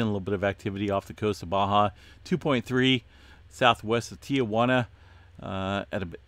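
A man hosting an earthquake update, talking casually through his screen with many fillers and a voice going hoarse. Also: lowish voice, dry recording. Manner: relaxed, many "uh" fillers, addresses viewers as folks. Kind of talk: casual screen-share commentary.

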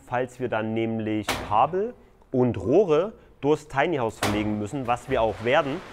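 Man, mid-pitched voice, casual explainer, conversational builder vlog. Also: slight background noise. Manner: explaining step by step, loose and conversational. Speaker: tiny house builder.

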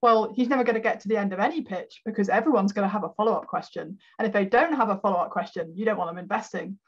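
A woman's voice: medium pitch, racing, breathy edge. A woman taking part in an online workshop, giving a spontaneous comment. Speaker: workshop participant. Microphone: video call microphone. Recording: dull video call audio.

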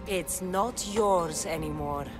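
An actress playing a calm, self-assured female mercenary warrior in a video game, speaking dryly. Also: on the low side, slow, music in the background. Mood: cool. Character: cool, confident female mercenary warrior, adult. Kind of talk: video game cutscene acting. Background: game score.

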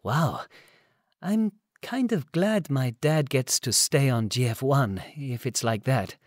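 A man's voice: mid-range voice, expressive, slow pace, no room sound, clean studio sound. A man voicing a frank, chatty teenage girl in an audiobook story. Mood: curious. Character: lively, outspoken teenage girl, teasing and frank.

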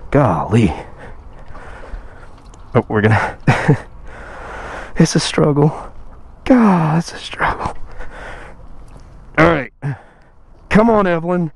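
A man chatting casually while riding his motorcycle, his voice a bit rough from recovering after losing it. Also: on the high side, sing-song, slow, long pauses. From Texas. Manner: chatty, self-joking, sighs and laughs. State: recovering from lost voice. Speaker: motovlogger. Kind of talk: casual motorcycle vlog chat. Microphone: helmet-mounted action camera mic. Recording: outdoor wind and road noise.

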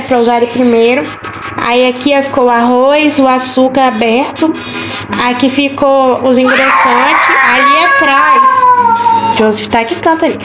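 A woman's voice: sits high, poor audio. A young woman casually chatting to viewers while tidying her home kitchen, pointing things out one by one on a handheld phone. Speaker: home vlogger. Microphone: handheld phone camera.

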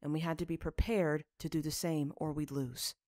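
A woman voicing a gentle, weary, earnest father in a story reading, speaking in a measured way. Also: sits low, fairly even intonation, no echo, studio quality, natural voice.

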